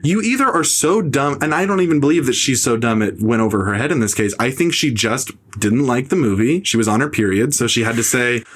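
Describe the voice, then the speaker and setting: A man's voice: fairly quick, hardly any pauses, fairly bright tone. Sarcastic young man ranting about films. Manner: sarcastic, mocking impressions in a high voice. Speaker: podcast host. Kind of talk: casual opinionated film talk.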